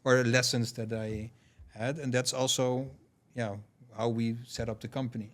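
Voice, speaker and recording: A man from Curaçao, a guest on a podcast, telling stories in a thoughtful, relaxed way with many fillers. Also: mid-range voice, normal speed. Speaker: podcast guest, payments founder. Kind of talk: casual podcast conversation.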